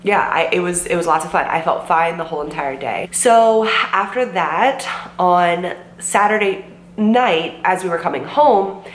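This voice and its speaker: A woman's voice: lowish voice, a little slow. A sick young woman in her twenties, voice worn and her throat sore, telling a rambling story with lots of "like" and "um" to a camera in her bedroom. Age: 20s. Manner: chatty, lots of "like" and "um", quotes herself. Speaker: vlogger. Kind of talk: casual storytime vlog. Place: bedroom with bookshelves, indoors. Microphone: camera in front at desk distance. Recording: roomy with faint hum.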